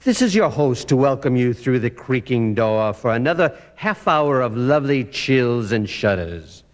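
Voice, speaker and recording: A man's voice: medium pitch, very animated, moderate pace, lightly acted. An older man playing a gleefully macabre horror host in old-time radio style, creepy and mock-polite. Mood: ghoulish, gleeful. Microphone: stand microphone, close.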